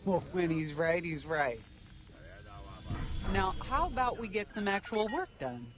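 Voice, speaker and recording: An easygoing starfighter squad leader from a video game. His voice sits a little high, wry and conversational, with music playing clearly behind it. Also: big pitch swings, a little slow. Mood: wry, amused.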